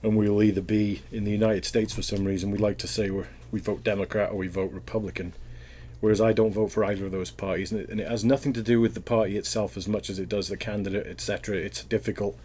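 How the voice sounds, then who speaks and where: A man with a Welsh accent and a fairly low voice, speaking in a loose, thoughtful way. He wanders through his answers and restarts his sentences often, the way people do in a casual interview. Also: little pitch movement, fast talker, warm tone, slight background noise. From Wales.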